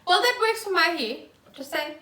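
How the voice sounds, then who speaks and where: A woman's voice: very high voice, expressive, normal speed, sharp tone. A woman chatting casually as a podcast co-host in a living room, with wry, dry asides. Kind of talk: casual chat. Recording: roomy echo.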